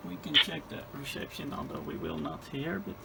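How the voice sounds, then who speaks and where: A man giving a hands-on repair demonstration, muttering haltingly and tentatively through each step as he thinks aloud. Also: fairly high pitch, wildly swooping pitch, creaky, heavy background noise. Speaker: host of a radio repair video. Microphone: handheld camera.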